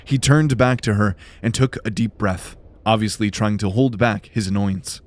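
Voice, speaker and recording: A man's voice: fairly low pitch, fairly even intonation, moderate pace. A man narrating fantasy fiction in a scripted, dramatic storytelling style. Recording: music behind the voice. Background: music.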